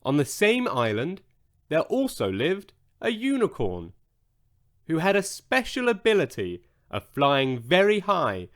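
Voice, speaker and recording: Slow, highly animated male storyteller. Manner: measured, expressive storytelling. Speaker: audiobook narrator. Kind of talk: scripted children's bedtime story narration.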